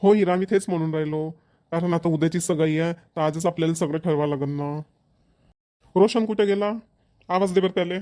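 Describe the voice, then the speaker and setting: A man's voice: a little high, fairly quick, warm tone, dry recording, studio quality, close to an everyday voice. A man voicing a practical, bossy but agreeable middle-aged village father in a cartoon comedy, chatty and emphatic, with a Varhadi Marathi accent. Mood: planning, brisk.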